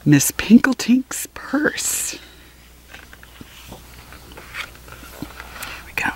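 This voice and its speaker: A woman reading a children's picture book aloud with expressive phrasing and deliberate pauses. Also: on the high side, in a whisper. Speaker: storybook reader.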